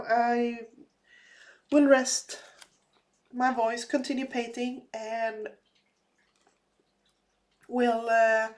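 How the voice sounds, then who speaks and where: A woman chatting casually while crafting, her voice slightly raspy from a recent cold, with many fillers and restarts. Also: fairly high pitch, darkish tone. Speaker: crafting vlogger.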